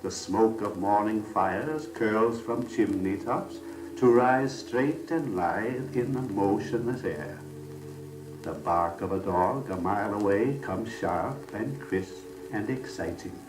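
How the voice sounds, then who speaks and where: A man narrating an old-time radio family drama in a solemn, lyrical, measured and theatrical style, lingering on his phrases. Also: fairly low pitch. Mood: wistful, cozy. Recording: old 78rpm radio record, roomy, distant, music.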